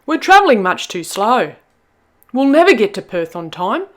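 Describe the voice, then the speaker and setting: A worried young dingo lad from a storybook, acted out by a woman. He complains loudly and fretfully with big swings of pitch, impatient and quick to despair. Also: very animated.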